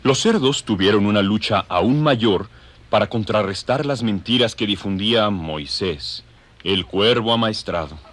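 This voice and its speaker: A man's voice: fairly low pitch, a little slow, a bit bright. A man narrating an audio drama in a scripted, dramatic storytelling style.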